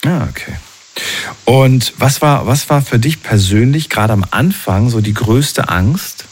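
A man interviewing a guest in a relaxed conversation, asking long, reflective questions and often checking in with short tag questions. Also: mid-range voice, measured pace, slightly rough.

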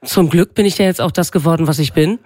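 A woman's voice: sits low, fast talker, darkish tone, no echo, studio quality. Chatty self-mocking woman in her forties. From East Frisia, northern Germany. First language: Low German. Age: 40s. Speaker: guest. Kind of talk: casual radio chat.

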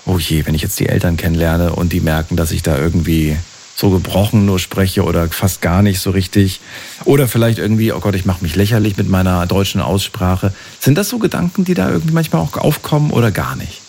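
A fairly low-voiced man leads a casual interview, chatting and asking long, winding questions. His tone stays relaxed and conversational. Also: brisk, gravelly, a little hiss or hum.

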